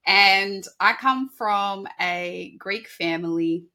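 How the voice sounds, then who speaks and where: A woman's voice: mid-range voice, takes it slowly. A woman from Sydney with an Australian accent, a dietitian talking casually and chattily as a podcast guest. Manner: chatty, animated, with laughs and restarts. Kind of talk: casual interview talk.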